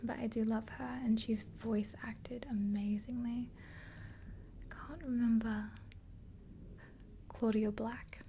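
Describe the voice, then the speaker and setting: A woman in her twenties whispering gently and breathily in an ASMR roleplay, close to the mic. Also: on the high side, level tone, a little hiss or hum. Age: 20s. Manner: gentle, unhurried. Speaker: ASMR creator playing a makeup artist. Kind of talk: ASMR roleplay, soft rambling chat. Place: small indoor room. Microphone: close mic, face near camera.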